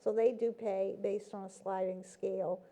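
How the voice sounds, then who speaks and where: A woman guest on a talk show, her voice very warm and dark. She explains earnestly and at length, pausing on "um"s and "you know"s. Her pitch is typical for a woman. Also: little pitch movement, gravelly. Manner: earnest, explaining, with many fillers. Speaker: guest from a nonprofit. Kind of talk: casual interview chat.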